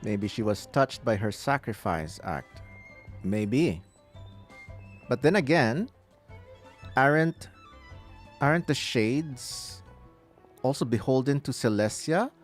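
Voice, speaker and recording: A man's voice: mid-range voice, animated, takes it slowly, pauses often, faint music behind. A man giving live playthrough commentary as a gaming streamer, musing aloud and breaking into exclamations.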